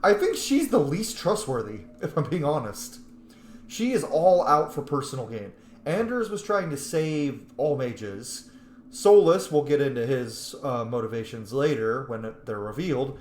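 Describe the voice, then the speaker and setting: Animated man giving game commentary. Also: mid-range voice, unhurried. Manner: opinionated, chatty. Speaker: gamer playing and commenting. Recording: echoey room, faint background noise.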